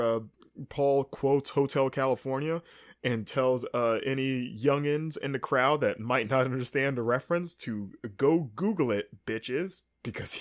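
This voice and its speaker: A man with a stuffy, congested voice, casually recapping a wrestling show as podcast host in a rambling, wry, sarcastic way. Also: mid-range voice. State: congested, with sniffles.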